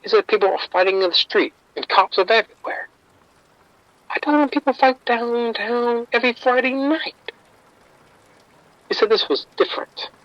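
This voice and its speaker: A man's voice: extremely high pitch, no room sound. Nervous, defensive male bookie informant, wry, muffled dull sound, audio drama. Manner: wry, defensive, talks fast around the point.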